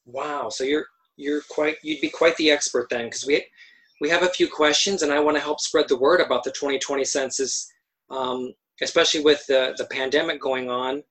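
A man's voice: fairly high pitch, steady tone, normal speed, a bit bright, poor audio. Hesitant male podcast host. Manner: hesitant, repeats words, friendly questions. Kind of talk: podcast interview.

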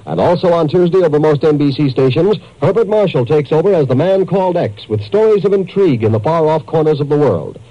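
A man's voice: a little high, animated, fairly quick, few pauses, no echo. An American man working as a network announcer, reading scripted promos in 1950s radio style. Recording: dull, dry vintage radio recording.

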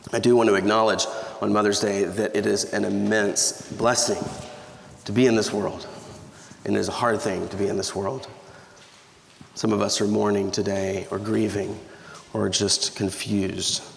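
Scratchy-voiced pastor in an echoey church. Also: male voice, on the low side, gravelly. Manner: conversational, humorous, with restarts. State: scratchy voice from allergies. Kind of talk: sermon. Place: church sanctuary. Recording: echoey church hall with reverb.

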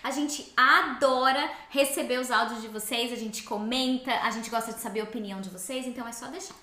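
A woman co-hosting a podcast, excitable and gushing. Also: a little high, a little fast, roomy sound. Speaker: podcast co-host.

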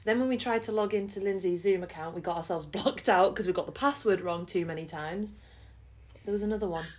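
A woman's voice: medium pitch, fairly quick. A woman co-hosting a casual podcast, who tells stories with lots of laughter and the odd swear word. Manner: laughing, swearing, chatty storyteller. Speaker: podcast co-host.

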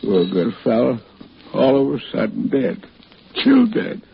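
A man's voice: mid-range voice, wildly swooping pitch, moderate pace, creaky, husky, exaggerated voice. An actor playing an anxious, drunken, heartbroken husband in old American radio drama, rambling and stammering as he goes. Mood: pleading, drunk. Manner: rambling, hesitant, stammering and repeating himself. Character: anxious, drunken, heartbroken husband hiding behind a false name. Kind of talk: 1940s-50s American radio drama acting. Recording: muffled, hissy old radio recording.

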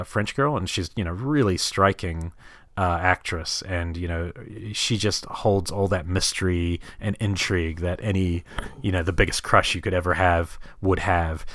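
A man from New Zealand with a deep voice hosts a casual film podcast. He thinks aloud in a rambling, halting way. The sound is very dry, with no room echo. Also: fairly bright tone.

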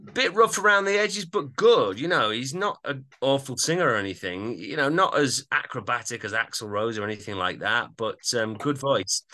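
A man with a very bright, forward voice at a middling pitch. He talks casually as a music podcast co-host, informal and agreeable in the way he discusses records. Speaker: podcast co-host reviewing albums.

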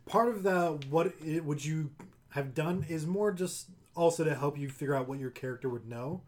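A man's voice: fairly high pitch, moderate pace, a little mellow. A man co-hosting a casual chat, laughing easily, prompting his co-host and filling in explanations.

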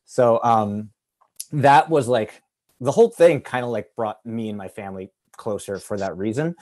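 A man's voice: mid-range voice, moderate pace, a little mellow. A man on a film panel telling a story in a loose, rambling way, filling his talk with "like". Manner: rambling, heavy use of "like". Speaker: actor on a panel. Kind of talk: casual storytelling.

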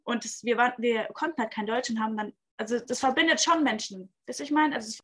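A woman's voice: a little high, steady tone, fast. A young woman from Germany, a native Spanish and Italian speaker, chatting casually in slang, acting out quotes and often checking she is understood. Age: young adult. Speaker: participant in a group talk. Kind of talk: casual chat, youth slang.

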